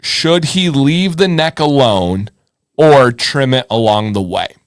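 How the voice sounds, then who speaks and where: A man's voice: mid-range voice, takes it slowly, dry recording, studio quality. A man hosting a live advice show in casual chat, informal and encouraging, thinking aloud and repeating himself.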